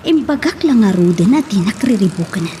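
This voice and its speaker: A woman's voice: mid-range voice, very animated, normal speed, mellow, slight background noise, natural voice. A woman playing a hurt, stubborn young woman wronged in love in an Ilocano drama. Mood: reluctant. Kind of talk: Ilocano radio-style drama acting.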